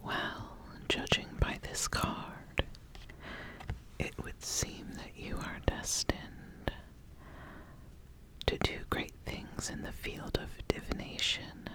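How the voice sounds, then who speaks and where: A woman playing an ambitious, intrigued witch in a hushed ASMR fantasy roleplay, speaking deliberately with lingering pauses and repeated phrases. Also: lightly acted, poor audio. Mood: intrigued, solemn. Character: ambitious witch, intrigued, sizing up a would-be apprentice.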